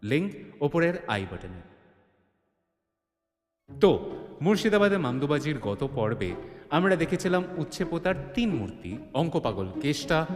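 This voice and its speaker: Animated male storyteller, slightly high-voiced. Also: in a large hall. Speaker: narrator. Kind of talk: dramatic scripted story narration.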